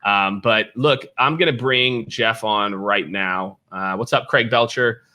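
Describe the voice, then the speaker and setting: Man, American accent, host, lowish pitch, sharp bright tone, casual livestream chat, chatty, repetitive phrasing. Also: measured pace. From Kansas City, United States. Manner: informal, chatty, repeats phrases for emphasis.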